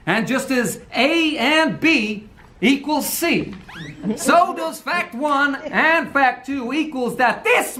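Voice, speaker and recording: A man's voice: very high-pitched, sharp tone, heavily acted. A man voicing a smug lawyer making a mock-logical closing statement. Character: smug male prosecutor lawyer, glib. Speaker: lawyer Mister Grayson. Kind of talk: amateur radio play reading.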